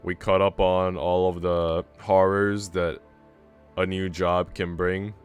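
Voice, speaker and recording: A man's voice: sits low, little pitch movement, takes it slowly, smooth. A man livestreaming in casual chat, talking in a slangy, profane, bro-ish way. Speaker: streamer. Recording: music behind the voice.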